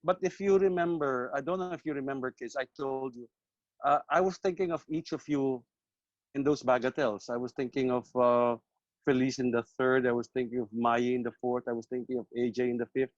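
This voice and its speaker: A man speaks over a video call, hosting a casual talk among composers. He is reflective and halting, circling back and repeating words as he remembers. His voice sits at a middling pitch in a dry, close sound. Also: fairly even intonation, dry recording, lo-fi sound. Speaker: composer and host. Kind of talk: casual online chat.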